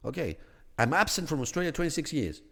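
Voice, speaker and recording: A man, a theology scholar on a podcast, talking through ideas in a measured, searching way with plenty of restarts. His voice has a gravelly edge. The room adds a long reverb tail. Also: fairly high pitch, big pitch swings, fairly bright tone. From Greece. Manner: thoughtful, many restarts and "you know" fillers, rising emphasis on key points. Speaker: podcast guest, theology academic. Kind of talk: conversational explanation.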